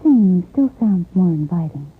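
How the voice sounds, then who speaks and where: A woman's voice: fairly low pitch, sing-song, moderate pace, slightly rough, heavily acted. An actress playing a playful, coaxing woman in 1950s radio ad banter. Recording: tinny old radio broadcast.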